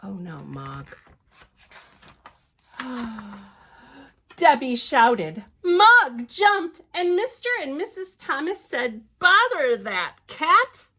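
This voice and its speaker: Woman, storytime reader, unhurried, very lively pitch, playful, gentle asides. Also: breathy edge. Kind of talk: children's picture-book read-aloud with asides to young listeners.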